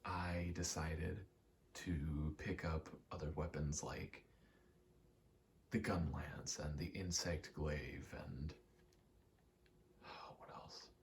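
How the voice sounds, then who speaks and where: A man giving a rambling ASMR gaming commentary, halting and meandering as he thinks aloud while playing. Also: rumbling low, steady tone, vocal fry, slight background noise. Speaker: gaming video creator.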